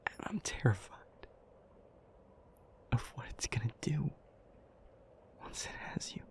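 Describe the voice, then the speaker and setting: A man playing an anguished, devoted demon lover in an intimate audio roleplay, hushed and halting, with a breathy, gravelly voice. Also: mid-range voice, in a whisper. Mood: terrified, pleading. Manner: halting, hushed, emotionally heavy delivery.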